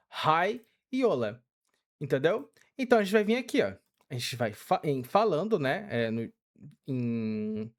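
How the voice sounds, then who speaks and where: A young man with a Brazilian Portuguese accent, casually walking through a game tutorial step by step with many fillers. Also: on the high side. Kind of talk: casual screen-recorded tutorial.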